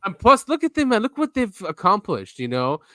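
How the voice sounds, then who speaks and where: A man co-hosting a podcast in casual chat, relaxed, filling his speech with "yeah" and "um" and letting his sentences drift and trail off. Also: high voice, brisk, no room sound, studio quality. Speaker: podcast co-host.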